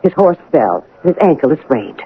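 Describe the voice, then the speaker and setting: An actress playing a fussy, kindly, evasive housekeeper in a radio drama, with a flustered manner and a husky voice. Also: female voice, deep voice, sing-song, moderate pace, narrow, tinny audio. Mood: bustling. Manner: flustered, hesitant. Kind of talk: radio drama character acting. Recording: band-limited vintage radio drama broadcast.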